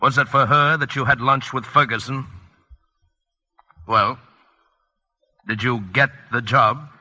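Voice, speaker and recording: Overbearing older patriarch, old radio drama, super sharp voice, orders everyone around. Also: male voice, medium pitch, steady tone, slow pace, dull audio, heavily acted. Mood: scornful, commanding. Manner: blunt, commanding, scornful. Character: domineering, wealthy, protective older father-in-law. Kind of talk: 1940s-50s radio drama acting.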